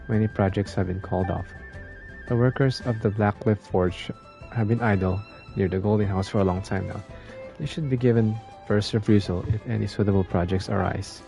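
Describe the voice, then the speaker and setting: A male game streamer reads on-screen text plainly. His voice is fairly low and gravelly with a slightly mellow tone, and faint music plays behind him. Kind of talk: reading game text aloud.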